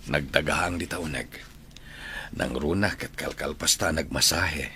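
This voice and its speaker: Deep, bright-voiced Filipino older suitor. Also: male voice, a little slow, a little hiss or hum, heavily acted. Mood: grateful, warm. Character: devoted older male suitor, tender and grateful. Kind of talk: sentimental radio drama acting.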